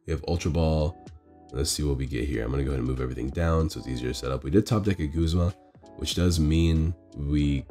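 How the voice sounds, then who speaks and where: Hoarse man, very deep voice, quick self-correcting game commentary on a phone mic in a store. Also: a little mellow. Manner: rambling, self-correcting strategy talk. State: hoarse, losing his voice from illness. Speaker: card game player commentating his match. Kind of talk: casual play-by-play commentary.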